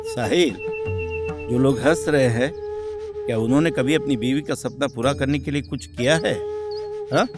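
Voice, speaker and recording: A man's voice: medium pitch, moderate pace, pauses often, music in the background, natural voice. A man playing a proud, supportive husband in a moral-story cartoon, speaking earnestly and firmly with challenging questions. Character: proud, supportive young husband, firm and principled.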